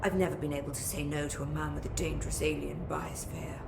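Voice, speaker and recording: A woman's voice: low-pitched, flat delivery, fast talker, vocal fry. A woman voicing a haughty, brusque academic scientist in a video game, precise and dryly exasperated. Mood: smug, reflective. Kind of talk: video game dialogue acting. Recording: roomy echo with background noise.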